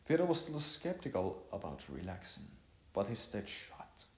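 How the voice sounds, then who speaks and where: Deep, lively male storyteller in an echoey room. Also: moderate pace. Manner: stumbles and rereads phrases. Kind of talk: amateur narration of an audiovisual novel. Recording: roomy echo, faint music behind.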